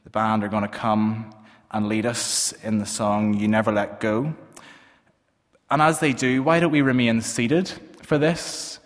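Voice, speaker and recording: A man leading a congregation in prayer and reading poetry aloud, speaking in a slow, gentle, measured way. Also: on the low side, steady tone. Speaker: worship leader. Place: church hall with congregation. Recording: long hall reverb.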